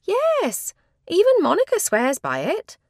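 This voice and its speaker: Woman acting a breezy, high-swooping pushy sister. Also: wildly swooping pitch, unhurried, a little mellow, dry recording, studio quality, exaggerated voice. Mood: gushing, encouraging. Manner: emphatic, gushing encouragement. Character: Louise, breezy, pushy sister preaching positive thinking. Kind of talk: acted storybook dialogue.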